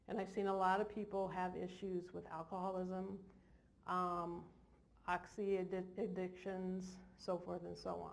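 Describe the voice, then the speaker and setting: A woman clinician giving an informal lecture in a large hall, with jokey, self-deprecating asides. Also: mid-range voice. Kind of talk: informal lecture to a live audience.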